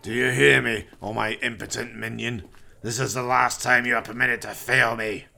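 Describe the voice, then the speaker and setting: A man playing a sinister, imperious villain in a radio play, mocking and taunting with deliberate menace. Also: medium pitch, little pitch movement, gravelly, sharp tone, heavily acted. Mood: contemptuous taunting.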